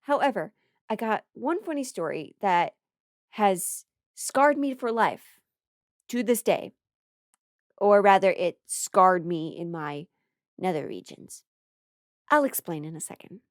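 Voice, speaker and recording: A woman's voice: medium pitch, expressive, takes it slowly, long pauses, no echo, studio quality. An American woman telling a casual comedic stand-up story, playful and theatrical, stretching words for emphasis and dropping mock-serious asides.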